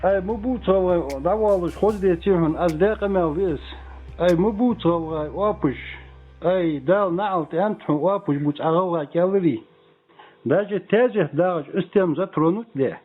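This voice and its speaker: A man's voice: high-pitched, very fast, slightly rough. A man speaking natively, talking informally and argumentatively as a phone caller asking questions. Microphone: telephone. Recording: tinny phone line, music behind. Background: music.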